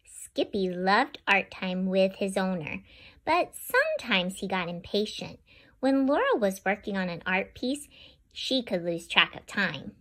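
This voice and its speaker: A woman's voice: medium pitch, a little slow. A woman host reading a picture book aloud to children and talking straight to her young listeners. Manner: animated, talks directly to young listeners. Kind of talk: read-aloud storytelling for children.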